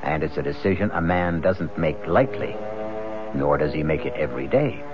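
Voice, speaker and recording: An actor playing a grave, ominous host narrating a 1970s radio mystery drama. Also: male voice, rumbling low, animated, moderate pace. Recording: muffled 1970s radio recording with music.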